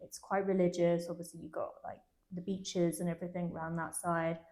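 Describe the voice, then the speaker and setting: A woman's voice: fairly low pitch, flat delivery, a little fast, darkish tone. A young woman with an English accent, chatting easily as an interview guest, laughing often and using lots of fillers. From England. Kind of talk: casual interview chat.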